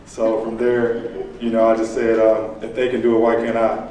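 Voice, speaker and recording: An easygoing man in his late twenties tells a live audience about his contracting business in a loose, chatty way, full of ums and uhs. His voice sits at a middle pitch and comes from some way off the mic, and a strong echo rings around it. Faint background noise hangs underneath. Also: normal speed, distant mic. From Illinois, USA. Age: late 20s. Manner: relaxed, conversational, frequent fillers. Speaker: contractor and aspiring entrepreneur. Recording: echoey room, picked up from afar.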